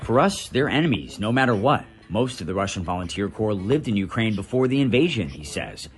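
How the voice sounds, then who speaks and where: An American man, a news correspondent, reading a scripted report voice-over in a dramatic, measured way with deliberate pauses. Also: lowish voice, sing-song.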